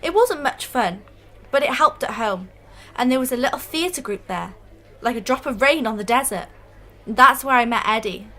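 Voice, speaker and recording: Woman playing a bright, high-voiced shy young fan. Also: lively intonation, moderate pace. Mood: wistful, earnest. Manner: hesitant, stammering. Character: shy, humble young female fan, earnest and self-effacing. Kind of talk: radio drama acting.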